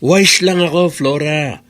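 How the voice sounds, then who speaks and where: Sly, high-pitched smug extortionist. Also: male voice, slow pace, fairly bright tone, a little hiss or hum. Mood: smug, taunting. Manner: mocking, oily. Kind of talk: Tagalog radio drama acting.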